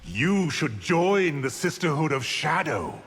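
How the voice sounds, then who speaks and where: An actor playing an arrogant, scheming, cold sorcerer villain in a video game, with a haughty, theatrical, menacing delivery. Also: male voice, a little high, sing-song, takes it slowly, fairly bright tone, big-room sound, heavily acted.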